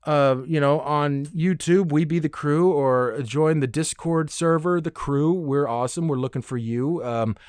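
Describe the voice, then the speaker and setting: A man's voice: fairly high pitch, a little slow, few pauses, darkish tone, clear, resonant tone, no room sound, studio quality. A man hosting a solo podcast in a casual, rambling, conversational way, enthusiastic and casually profane. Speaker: podcast host.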